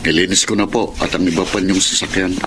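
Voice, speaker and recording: Humble family-man driver played by a male actor, low register, worried and deferential, hesitant delivery, Filipino radio drama, noisy track. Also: fairly even intonation, fast, natural voice. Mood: humble, earnest. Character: humble, hardworking jeepney driver father, worried, respectful.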